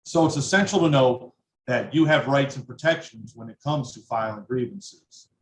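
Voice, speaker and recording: A man's voice: mid-range voice, normal speed. A young man, a PhD student and union grievance chair, giving a firm prepared speech with some uh fillers. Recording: roomy with faint noise.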